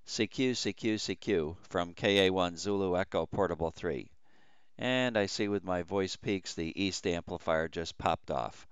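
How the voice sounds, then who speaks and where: A man who works as an amateur radio operator, talking casually in a halting, matter-of-fact way as he demonstrates his station and makes radio calls. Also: clean tone, lo-fi sound. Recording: loud radio noise, vehicle-like rumble.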